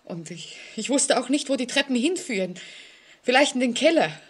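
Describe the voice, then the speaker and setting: An actress playing a frightened, insistent woman in a vintage German radio play, speaking in a hesitant, halting way. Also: a little high, animated, breathy edge. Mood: anxious. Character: frightened, haunted young New York woman, insistent.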